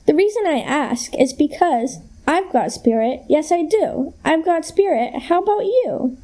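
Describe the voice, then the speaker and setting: Spirited schoolkid, played by a young woman: high, mellow voice, cheerleader pep, radio comedy. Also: lively intonation, measured pace. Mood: peppy, chanting. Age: young adult. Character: peppy, chanting school-spirit kid questioning the candidates. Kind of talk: comic radio play acting.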